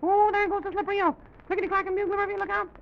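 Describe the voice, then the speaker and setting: Super high creaky little toy president, flustered and fussy, old kids show, lo-fi sound. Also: male voice, flat delivery, rapid-fire, background noise. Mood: fretful. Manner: fussy, folksy exclamations, rambling and repeating himself. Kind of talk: old-time children's story show character acting. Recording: dull, lo-fi vintage broadcast recording.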